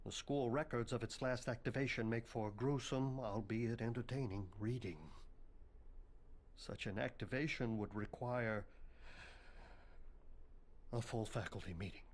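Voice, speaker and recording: A man playing a morbid, pompous, bookish headmaster of an occult academy in a video game cutscene, speaking in ornate, deadpan phrases. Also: mid-range voice, unhurried, pauses often, close to an everyday voice. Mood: dry, macabre.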